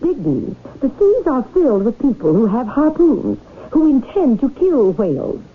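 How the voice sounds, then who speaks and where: An actress playing a genteel, insistent society wife in a 1970s radio drama, with a theatrical, coaxing manner. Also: female voice, high voice, sing-song, normal speed, slightly rough, heavily acted. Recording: tinny, noisy 1970s radio recording.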